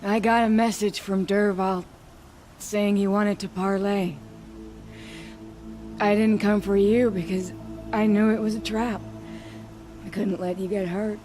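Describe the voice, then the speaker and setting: An actress playing a tough, blunt warrior big sister in a video game, speaking weakly and haltingly as she dies. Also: medium pitch, flat delivery, mellow, soft background music, lightly acted. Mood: strained, urgent. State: weak, coughing, labored breath.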